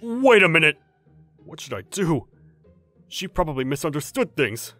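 An actor playing a polite, flustered teenage schoolboy in a manga dub, earnest and prone to stammering. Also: male voice, fairly high pitch, very animated, normal speed, sharp tone, soft background music. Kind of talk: manga dub voice acting, scripted.

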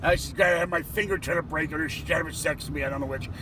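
A man's voice: a little high, rapid-fire. A man hosting a casual vlog from inside a car, talking in a rambling, joking way and laughing often. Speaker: vlog host. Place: inside a car on a traffic-jammed bridge. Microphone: phone camera held in the car. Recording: loud road noise from traffic.

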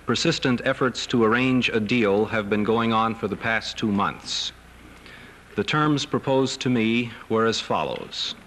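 A middle-aged American man giving a formal, measured press statement. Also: fairly low pitch, fairly bright tone. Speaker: clergyman, seminary head speaking to press. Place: wood-paneled press conference room. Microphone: table microphones. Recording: noisy 1970s newsreel film sound.

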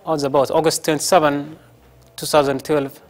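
A young man from Sudan sits as a guest in a TV studio. He speaks English as a second language, slowly and haltingly, in short simple phrases. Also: on the high side, little pitch movement. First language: not English. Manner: halting non-native English, short answers.

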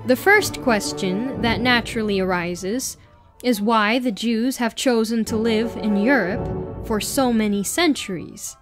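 Slow-reading woman, higher-pitched, music behind. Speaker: reader. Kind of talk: reading an article aloud.

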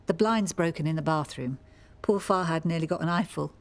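An actress playing a breezy, cheeky, dismissive wife in a naturalistic radio soap. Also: female voice, deep voice, a little fast. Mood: breezy, defensive.

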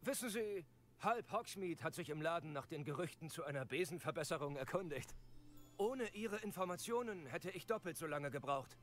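An actor playing an eager, earnest broom shopkeeper and inventor in a video game, polite and keen to sell. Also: male voice, a little high, expressive, normal speed, fairly bright tone. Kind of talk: acted video game dialogue. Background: background music.

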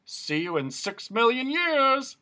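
Guy doing a booming bossy cop judge, full of himself, swings his pitch around a lot. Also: very animated, slow pace, exaggerated voice. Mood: pompous, booming. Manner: loud self-important proclamations. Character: blustering pompous male policeman-judge-jailer.

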